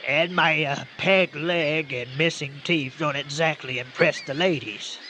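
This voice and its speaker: A man's voice: on the high side, a little slow, noisy background, lightly acted. A man acting a folksy, hesitant Southern character in an old-time radio comedy, speaking with a stammer. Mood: hesitant, sheepish. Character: folksy, friendly rural Southern trucker man, easygoing know-it-all; also lonely, bashful old garbage-boat captain.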